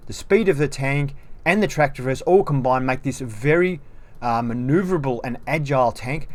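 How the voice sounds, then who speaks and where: A male video game reviewer talks casually through a tank's numbers, chatty and a little self-deprecating. His voice sits in an ordinary middle pitch for a man.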